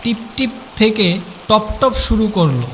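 A man's voice: sits high, sing-song, a little slow, over music. A man narrating a cartoon ghost story in a wry, chatty storyteller manner.

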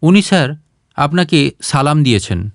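Man playing a simple, earnest villager, higher pitched. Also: sing-song, moderate pace, no echo, studio quality. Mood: deferential.

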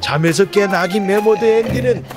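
A man telling a scripted animal story for children in an animated, expressive way. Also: sits high, normal speed. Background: music.

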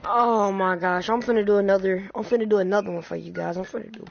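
A woman YouTuber playing a VR game, who trash-talks the characters with playful slang and bursts out loud. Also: mid-range voice, fairly even intonation, quick pace, creaky. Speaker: gaming YouTuber. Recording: dull lo-fi sound with loud hiss.

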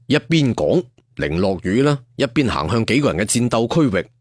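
A man's voice: medium pitch, sing-song, moderate pace, husky, no room sound, clean studio sound. A man with a Cantonese accent narrating a martial arts story dramatically, with conversational asides. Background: faint music.

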